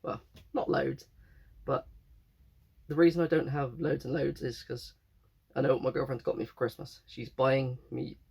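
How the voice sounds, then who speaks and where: Young male vlogger, hoarse from a cold, raspy and gravelly, loose rambling delivery. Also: mid-range voice, level tone, normal speed, pauses often, a little mellow. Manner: rambling, self-correcting. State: croaky with a cold. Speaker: game collector vlogger. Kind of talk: casual chat.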